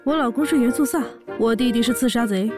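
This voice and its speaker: A woman's voice: a little high, quick pace, music in the background, close to an everyday voice. A woman playing a brash, boastful pregnant orc warrior, a sassy and bossy older sister, in a fan audio drama. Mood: proud. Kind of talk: audio drama acting and narration.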